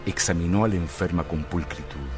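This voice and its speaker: A man narrates a radio mystery in an extremely low voice. His delivery is measured and dramatic. Music plays behind him. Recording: music bed under the voice.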